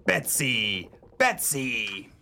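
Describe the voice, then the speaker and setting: An actor playing a conceited, scheming old pirate with a gravelly voice and stage pirate dialect in a comic radio play. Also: male voice, mid-range voice, very animated, drawn-out words, over loud music, heavily acted. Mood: wooing, boastful.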